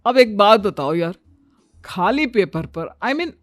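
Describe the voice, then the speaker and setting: Easygoing young husband in a cartoon tale, female performer: casual, bemused, lively pitch swings. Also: slow, dry recording, faint music behind. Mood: puzzled. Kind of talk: Hindi cartoon story dialogue.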